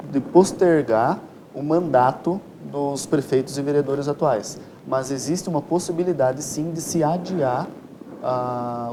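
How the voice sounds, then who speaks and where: A man's voice: mid-range voice, dark tone. A Brazilian man giving formal, explanatory answers as an expert interview guest, with precise, legalistic wording. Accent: Brazilian Portuguese. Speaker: interview guest, electoral lawyer. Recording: clear ambient background noise.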